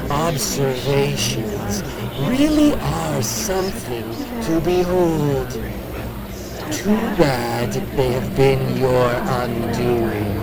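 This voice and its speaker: An actor playing an ancient, arrogant monster that calls itself "we", speaking slowly with haughty menace. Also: male voice, medium pitch, low-quality recording. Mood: mocking. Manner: slow, haughty and menacing, layered voices. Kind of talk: audio drama acting.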